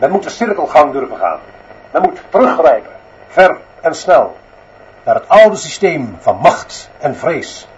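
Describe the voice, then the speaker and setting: An actor playing a stern, dictatorial school director in a radio drama, declaiming in emphatic, measured phrases. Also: male voice, on the high side, big pitch swings, a little hiss or hum. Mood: stern, commanding. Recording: slightly dull, muffled sound.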